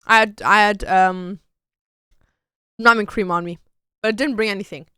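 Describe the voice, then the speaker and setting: A woman's voice: medium pitch, steady tone, normal speed, sharp tone, smooth, no room sound, studio quality. A young woman, a tattoo artist, chatting casually with her stream viewers and answering their questions in a loose, talkative way. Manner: chatty, loose, peppered with "like" and "dude".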